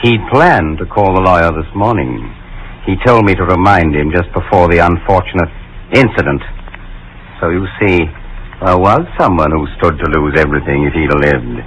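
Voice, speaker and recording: An actor playing a sly, coolly menacing butler in a 1940s radio drama, formal and insinuating. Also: male voice, deep voice, moderate pace, fairly bright tone. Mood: smooth, accusing. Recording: faintly noisy vintage 1940s radio recording.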